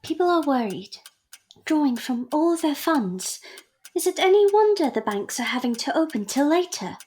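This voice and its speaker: A woman's voice: high voice, big pitch swings, normal speed, darkish tone. A young woman playing a prim, perceptive Victorian daughter in an audio drama, formal and earnest. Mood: prim, teasing.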